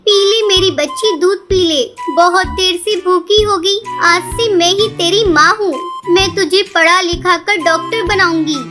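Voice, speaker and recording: Poor, devoted cartoon mother bird, played by a woman: extremely high, earnest and pleading, over clear background music. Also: a little slow, hardly any pauses. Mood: tender. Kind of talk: Hindi children's cartoon voice acting.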